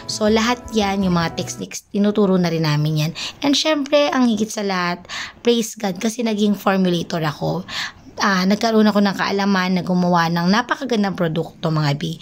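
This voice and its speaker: A woman with a Filipino accent gives an earnest vlog voice-over. She speaks as a small business owner at a medium pitch and often slips English phrases into her talk. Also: lively intonation, moderate pace, soft background music.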